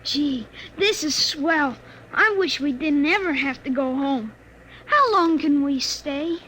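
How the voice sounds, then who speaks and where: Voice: high voice, animated, slow pace, sharp tone, heavily acted. A child's voice playing an eager, polite, inquisitive boy in old radio drama style. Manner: earnest, inquisitive. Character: eager, polite young boy on a family trip. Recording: muffled old radio recording, faint noise.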